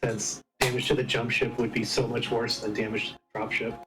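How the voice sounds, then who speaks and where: A man's voice: mid-range voice, level tone, a little fast, low-quality recording. A man playing a tabletop game and casually reasoning about tactics. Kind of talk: casual game strategy talk. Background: instrumental music.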